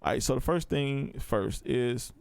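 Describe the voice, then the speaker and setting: A man's voice: medium pitch, lively intonation, measured pace, vocal fry, smooth, no room sound. A man giving a casual, step-by-step tutorial in a laid-back, informal way. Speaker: audio production tutor.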